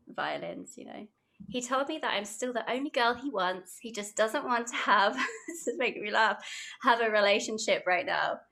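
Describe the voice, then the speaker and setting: A young British woman talks in a bright, clear voice as a podcast guest. She rambles cheerfully and giggles between thoughts. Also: medium pitch. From United Kingdom. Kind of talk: casual podcast chat, reading aloud.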